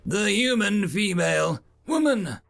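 Calm alien academy instructor, played by a male narrator, raised pitch, didactic and measured, sci-fi audiobook dialogue. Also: creaky, heavily acted. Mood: calm lecturing. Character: calm, lecturing alien military educator addressing cadets.

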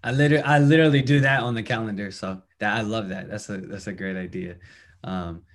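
A young man hosting a chat podcast, friendly and talkative, with plenty of fillers and quick agreements. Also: lowish voice, steady tone. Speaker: podcast host. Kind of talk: casual interview chat.

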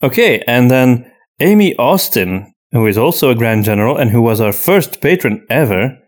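A man hosting a podcast in casual conversation, explaining things in a measured, reflective way with hesitant pauses. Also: medium pitch, very animated. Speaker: podcast host.

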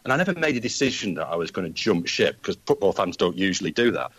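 A man's voice: sits low, very animated, fast, fairly bright tone, breathy edge, no echo. An older man with a Manchester accent, telling rambling stories as a guest football fan on a casual chat show. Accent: Mancunian. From Manchester, England. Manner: rambling anecdotal storyteller.

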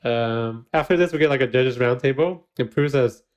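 A man's voice: medium pitch, normal speed, dull audio. A man co-hosting a podcast, recapping a baking show in casual chat, giggling often and using lots of fillers. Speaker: podcast co-host.

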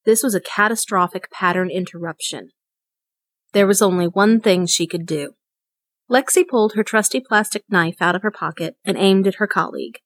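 A woman's voice: mid-range voice, normal speed, a bit bright, no room sound. Woman reading a short story, dry close sound. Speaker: story reader. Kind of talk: scripted short story narration.

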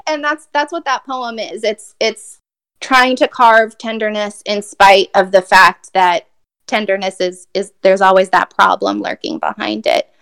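A woman's voice: mid-range voice, steady tone, unhurried, a bit bright, smooth. A woman poet from Brazil giving a reflective, thoughtful interview answer, with many fillers and restarts.

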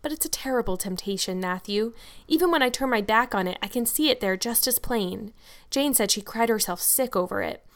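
A woman's voice: on the high side, lively intonation, a little fast. A woman voicing a talkative, imaginative young girl with an earnest, grandly worded way of speaking in an audiobook. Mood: chatty, earnest. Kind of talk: audiobook character dialogue.

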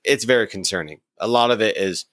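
A man co-hosting a podcast, talking in an animated, jokey, rambling way. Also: lowish voice, a little fast, no room sound, studio quality. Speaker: podcast co-host. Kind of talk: casual podcast talk.

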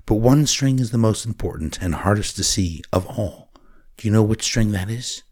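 A gentle older grandmother speaks in a patient, soothing way as she explains things to a scared child, voiced by a man reading in his own deep, low voice. She is warm and steady, with a storybook feel. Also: normal speed.

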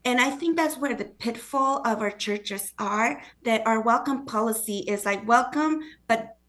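A woman's voice: a little high, a little slow, fairly bright tone. A woman with a foreign accent, a guest author speaking earnestly in a conversational interview, often acting out what others say. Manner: earnest, acts out quoted voices.

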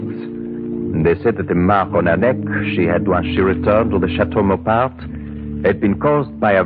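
A man's voice: lowish voice, close to an everyday voice. An actor playing a grave, superstitious Frenchman with a French accent, telling ominous tales in old radio horror style. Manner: ominous, deliberate storytelling. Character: grave, superstitious French villager warning of a vampire. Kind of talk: 1940s-50s radio horror acting. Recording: muffled old radio broadcast.

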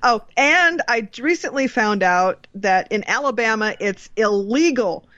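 A woman's voice: on the high side, lively intonation, a little slow, fairly bright tone, no echo. A woman hosting a podcast in casual chat, with an easygoing, agreeable way of talking. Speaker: podcast host. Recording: dull, dry lo-fi mic.

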